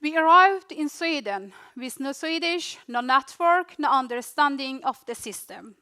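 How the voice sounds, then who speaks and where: A young Afghan woman with a Dari-speaker's accent gives a rehearsed talk about her own life. Her voice sits high, and she lets each short phrase land in its own slow, unhurried beat. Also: expressive, sharp tone. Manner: slow, deliberate delivery in short dramatic phrases with pauses. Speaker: refugee speaker. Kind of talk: rehearsed personal storytelling speech.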